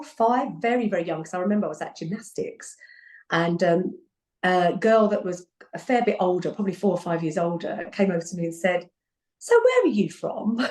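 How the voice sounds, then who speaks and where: Laughing English woman in an echoey room. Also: mid-range voice. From England. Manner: animated, laughs often, repeats herself. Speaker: podcast guest. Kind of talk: casual conversation.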